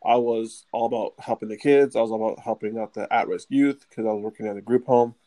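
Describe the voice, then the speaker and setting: A man's voice: lowish voice, steady tone, quick pace, warm tone. A young man co-hosting a casual student chat, laid-back and joking, chuckling often. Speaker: podcast co-host.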